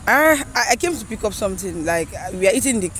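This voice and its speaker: A woman's voice: medium pitch, expressive, fast talker, fairly bright tone, a little hiss or hum. A woman in her twenties with a Nigerian accent, chatting candidly as a guest in a street interview. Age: 20s. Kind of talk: candid casual chat. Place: outdoors on a park path.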